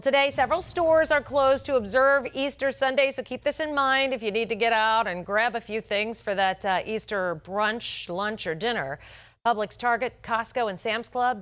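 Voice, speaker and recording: A woman's voice: on the high side, a bit bright. A woman anchoring a morning TV newscast, reading scripted stories with polished, emphatic delivery. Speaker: news anchor. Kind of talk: scripted morning news broadcast.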